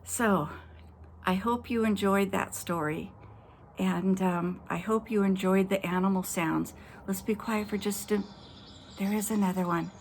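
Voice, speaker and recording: Woman pastor talking gently to children. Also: medium pitch, steady tone, unhurried, a little hiss or hum. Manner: warm, gentle, speaking directly to kids. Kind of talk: storytelling and devotional talk for children. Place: outdoors at night.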